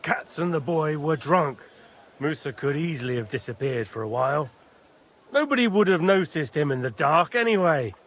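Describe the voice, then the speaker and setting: An actor playing a proud, blustering medieval camp commander, haughty, defensive and emphatic, in a dramatic video game. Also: male voice, fairly high pitch, lively intonation, normal speed, fairly bright tone, a little hiss or hum. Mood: dismissive.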